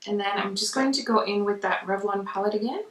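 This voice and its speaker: A woman's voice: mid-range voice, quick pace. A woman giving a casual makeup tutorial in a chatty, conversational way. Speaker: makeup vlogger. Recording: roomy echo, distant mic.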